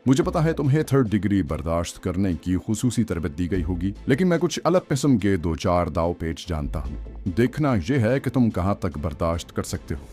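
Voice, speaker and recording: A man's voice: low-pitched, sing-song, darkish tone. A man voicing a cold interrogator in an audio story, grave and mocking as he delivers threats. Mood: grave threatening. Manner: grave, icy, sardonic, unhurried threats. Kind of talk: audiobook villain acting. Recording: faint music bed under voice.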